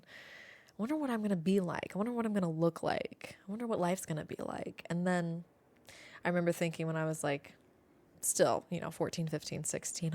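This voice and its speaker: A woman's voice: fairly low pitch, gravelly, a little mellow, dry recording. A woman in her late twenties chatting casually as a podcast host, reflective and repeating phrases for emphasis. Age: late 20s. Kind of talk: casual solo podcast chat. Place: at home near an airport.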